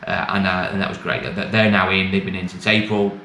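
A man's voice: deep voice, flat delivery, fairly quick, sharp tone. A middle-aged British man giving a casual, matter-of-fact, rambling update to camera in colloquial language, recorded in a small bare office. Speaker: used car dealer and vlogger. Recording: echoey small room, clear background noise.

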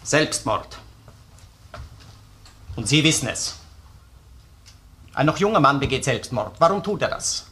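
A relentless male police detective from a crime radio play. His Austrian-accented voice is tense and rough-edged, at an ordinary male pitch. He probes insistently, with deliberate phrasing and a sardonic bite. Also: very animated, bright, forceful tone, full character voice. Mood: grave, accusing. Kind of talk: German-language crime radio play acting.

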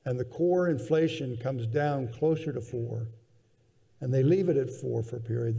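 An older man speaking as a guest on a conference panel, measured and thoughtful, often restarting his sentences, seated on a hall stage. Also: medium pitch, creaky, mellow. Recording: reverberant convention hall.

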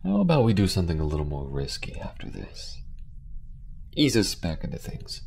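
Man acting as alien space adventurer, rock-bottom pitch, creaky gravel, soft, tender, weary, intimate roleplay. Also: wildly swooping pitch, normal speed, a little hiss or hum, heavily acted. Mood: warm, adventurous. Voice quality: deep, gravelly.

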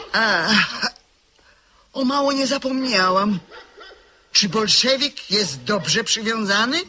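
A woman's voice: lowish voice, pushed and bright, full character voice. An actress playing a fretful lady of the manor in a radio drama. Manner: fretful, exclamatory. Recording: faint hiss on old radio tape.

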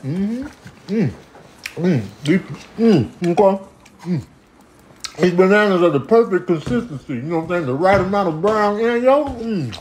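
A man's voice: high voice, expressive, normal speed, long pauses, a little mellow, slight background noise, voice pushed up, poor audio. A man voicing a greedy, laid-back cow in a comedy animal dub, slangy and savoring, mumbling between bites. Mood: relaxed, savoring.

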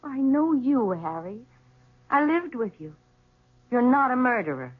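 A woman's voice: on the high side, big pitch swings, measured pace. A woman playing an earnest, regretful widow in a 1970s radio drama. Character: earnest, regretful widow visiting her ex-husband. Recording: tinny 1970s radio broadcast.

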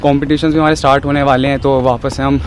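A young male athlete interviewed outdoors, pausing often with filler sounds as he answers. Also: medium pitch, flat delivery, slight background noise. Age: young adult. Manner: hesitant, many filler sounds. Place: outdoor stadium grounds. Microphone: handheld reporter mic.

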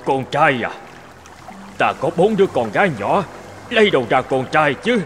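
A man playing a burly old fisherman father, anxious and regretful, in an animated fairy tale. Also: fairly high pitch, moderate pace, soft background music. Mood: hesitant.

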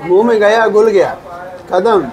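A man's voice: high-pitched, moderate pace. Hyped man vlogging restaurant food. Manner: hyped, keeps addressing viewers as guys. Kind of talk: casual food review chat. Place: busy restaurant. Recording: crowded restaurant noise. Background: crowd chatter.

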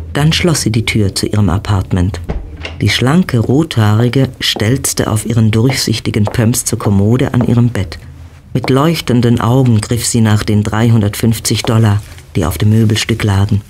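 A man's voice: mid-range voice, a little mellow, breathy edge. A man narrating a horror audio drama from a script, as the storyteller. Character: storyteller of a lurid horror tale.

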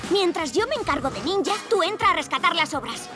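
Plucky kid superhero, very high lively voice, earnest, exclamatory, cartoon dub, loud music behind. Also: brisk. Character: earnest plucky child superhero, eager to help. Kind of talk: animated children's series dubbing.

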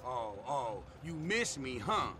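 A man's voice: mid-range voice, big pitch swings, slow, background noise. An actor playing a combative middle-aged American male ex-convict gangster in a video game cutscene. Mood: indignant. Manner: combative, easily offended.

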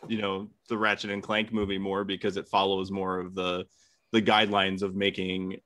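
A man's voice: low voice, monotone, moderate pace, fairly bright tone, no room sound. A young man giving his opinion in a casual, conversational debate. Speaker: debate contestant. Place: room at home, video call.

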